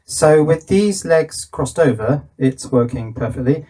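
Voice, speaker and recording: A man's voice: fairly high pitch, animated, a little slow, dark tone, a little hiss or hum. Man thinking aloud at the workbench. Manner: thinking aloud while working, talking to himself. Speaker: electronics hobbyist presenter. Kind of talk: casual hands-on demonstration commentary.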